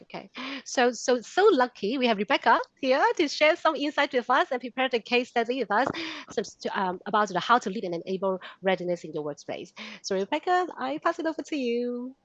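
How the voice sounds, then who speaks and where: Halting woman host on a dull line. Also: a little high, animated. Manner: halting, many fillers and restarts. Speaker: webinar host. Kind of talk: introducing a guest speaker. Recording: dull, dry lo-fi sound.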